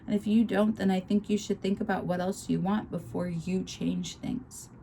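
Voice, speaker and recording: A woman reading the lines of an exasperated, fair-minded husband, with even, slightly defensive replies. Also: mid-range voice, normal speed, vocal fry, slight background noise, voice pushed up, close to an everyday voice.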